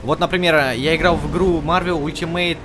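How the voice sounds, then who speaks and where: A man with a fairly high voice hosts a let's-play, commenting casually as he goes. He thinks aloud and chats to the game's characters. Game music plays clearly behind him. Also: fairly even intonation, brisk. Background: video game music.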